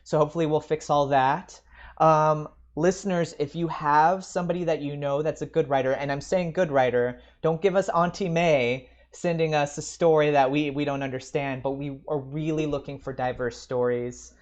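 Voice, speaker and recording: A man co-hosting a podcast in casual conversation, animated and joking in an informal way. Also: on the high side, normal speed, dull audio. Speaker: podcast co-host.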